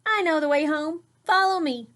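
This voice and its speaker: A woman voicing a timid little girl, a quiet younger sister in a storybook, speaking softly and slowly. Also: sits high, voice pushed up. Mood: reassuring.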